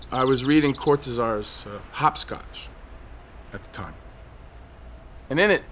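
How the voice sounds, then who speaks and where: An actor playing a despairing, agitated man perched on a high ledge in an audio drama, rambling on and given to outbursts. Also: natural voice. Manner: rambling, wistful, bursting into shouts. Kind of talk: audio drama monologue and dialogue. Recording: faint city traffic noise behind.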